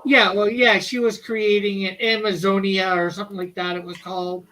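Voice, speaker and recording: A man's voice: high-pitched, flat delivery, moderate pace, sharp tone, poor audio. A man hosting a casual podcast, keeping an eye on the live chat and talking in an easygoing way. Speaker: podcast host reading the chat.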